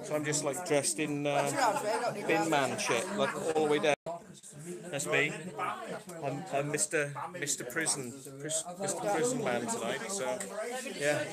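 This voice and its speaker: A man's voice: a little high, a little slow. A middle-aged man with a Welsh accent, joking and chatting as he hosts a phone livestream in a dim, crowded indoor venue. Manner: joking, chatty, self-mocking. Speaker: livestream host. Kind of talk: casual banter on a livestream. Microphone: phone camera at arm's length. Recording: loud crowd chatter behind.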